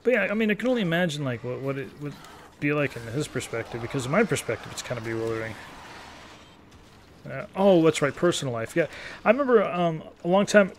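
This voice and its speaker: A man in his thirties with a slightly high voice chats casually as a gaming commentator. He rambles, circles back and pokes fun at himself. Some faint noise sits behind his voice. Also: sing-song, fast. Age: 30s. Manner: rambling, self-deprecating, digressive.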